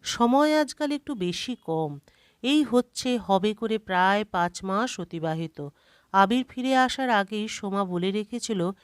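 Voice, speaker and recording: A woman's voice: medium pitch, no room sound, clean studio sound. A woman reading a scripted short story as a storyteller.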